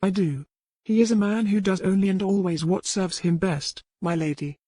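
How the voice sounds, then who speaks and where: A world-weary old servant woman as a female narrator plays her, loyal to her lady and wise in herbs. Her voice sits at a middle pitch in a dry recording, as dramatic historical storytelling. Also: normal speed, warm tone, slightly rough, dry recording, natural voice. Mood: firm. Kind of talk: dramatic audiobook character reading.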